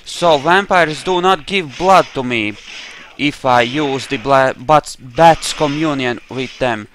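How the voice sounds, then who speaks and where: Slow-paced man doing game commentary. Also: medium pitch, a bit bright, a little hiss or hum, rough recording. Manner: explains his character's thoughts, trash-talks enemies. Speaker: gamer, playthrough host. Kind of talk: casual role-play game commentary.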